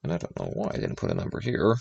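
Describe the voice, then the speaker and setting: A man's voice: on the low side, huge pitch swings, racing, vocal fry, a little mellow, slightly rough, highs cut off. A man streaming as he writes fan fiction, casually explaining his choices and thinking aloud. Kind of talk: casual commentary on his own writing.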